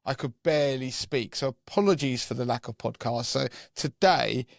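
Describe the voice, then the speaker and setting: A hoarse, croaky man, co-hosting a finance podcast and explaining things step by step in a chatty way. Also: medium pitch, moderate pace, no echo, clean studio sound. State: hoarse, croaky from losing his voice. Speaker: podcast co-host, personal finance expert.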